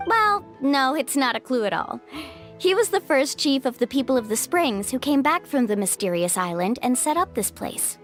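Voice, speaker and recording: High, lively young woman guide. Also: normal speed, soft background music. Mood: friendly, explaining. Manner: bright-spirited, friendly, earnest. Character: upbeat, confident young female island guide. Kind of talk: video game voice acting.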